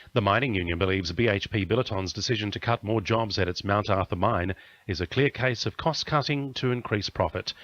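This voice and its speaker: A man with an Australian accent reads a news bulletin in a lowish voice. The delivery is scripted radio newsreading, with a slightly dull sound to the audio. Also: a bit bright.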